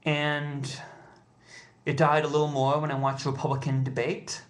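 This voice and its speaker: Male, American, somewhat higher voice; earnest, pleading vlogger delivering a heartfelt appeal with halting pauses. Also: little pitch movement. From United States. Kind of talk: impassioned personal appeal to camera.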